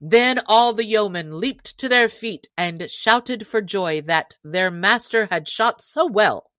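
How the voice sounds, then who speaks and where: Slow-paced woman narrating a classic storybook. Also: mid-range voice, fairly bright tone, dry recording, clean studio sound. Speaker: audiobook reader.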